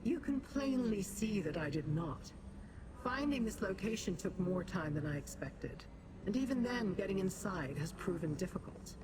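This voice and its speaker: A woman voicing a haughty, calculating demon sorceress in a fantasy video game, formal and condescending in her measured delivery. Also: mid-range voice, animated, background noise, natural voice. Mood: imperious, appraising.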